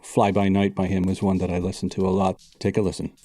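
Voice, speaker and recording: A composer being interviewed, calmly recalling the music that influenced him. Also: male voice, sits low, fast. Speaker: composer guest on a podcast. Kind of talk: interview clips, played back repeatedly.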